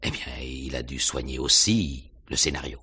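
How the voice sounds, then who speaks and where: An actor playing a probing, pensive police inspector in a French radio mystery, questioning in a hesitant, musing way with little hums and pauses. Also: male voice, a bass voice, sharp tone, breathy edge, full character voice.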